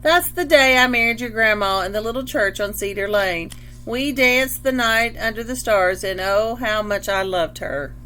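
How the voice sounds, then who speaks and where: A woman's voice: on the high side, normal speed, pushed and bright. A woman voicing a fond old grandfather reminiscing in a storybook read-aloud, with a noisy hum. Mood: nostalgic.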